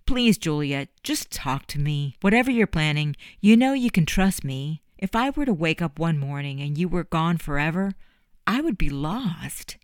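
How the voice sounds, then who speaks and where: A woman's voice: sits low, lively intonation, normal speed, clean tone, no echo, clean studio sound. A woman voicing an earnest, dramatic young man. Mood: pleading. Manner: earnest, pleading. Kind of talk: audiobook character voice.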